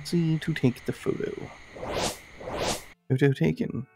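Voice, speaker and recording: Gravelly man doing casual game commentary. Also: a little high, slow, warm tone, slight background noise. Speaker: gaming video host. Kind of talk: casual let's-play commentary, reading game dialogue aloud. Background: game music.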